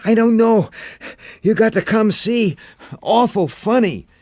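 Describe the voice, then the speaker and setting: Man putting on an excited, high-pitched young boy. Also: unhurried, no echo, clean studio sound. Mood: awed, insistent. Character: excited breathless young beach boy, urgent and awed. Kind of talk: dramatic audiobook character voice.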